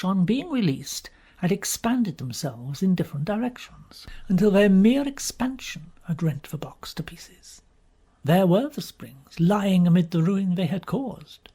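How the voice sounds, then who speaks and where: Male storyteller for audiobook fiction, husky and breathy, theatrical delivery with emphatic pauses. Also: fairly high pitch, a little mellow. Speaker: audiobook reader. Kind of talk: dramatic first-person storybook narration.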